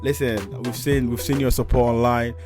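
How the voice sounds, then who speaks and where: Male radio host, mid-pitched and upbeat, heavy on the shout-outs, faint music bed. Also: steady tone, normal speed. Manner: upbeat, repetitive, hype-style shout-outs. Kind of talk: casual radio interview. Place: radio studio.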